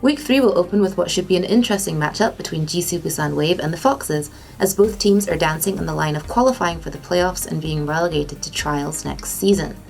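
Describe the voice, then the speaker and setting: A woman with a slightly low voice presents scripted gaming news. She narrates as an esports news presenter, with light music playing behind her. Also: brisk, creaky, few pauses, a little mellow, poor audio.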